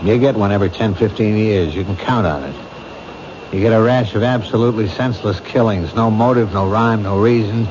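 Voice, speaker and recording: A world-weary police captain , voiced by an actor, lays out the facts in a brisk, lowish voice. The 1970s radio drama comes through a muffled, noisy old broadcast. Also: male voice, lightly acted. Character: gruff, weary middle-aged male police captain.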